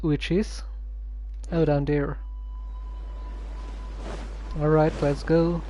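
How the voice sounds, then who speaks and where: A woman streamer giving casual, deadpan commentary as she plays a story game. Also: sits low, extremely slow, a little hiss or hum. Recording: voice over game music.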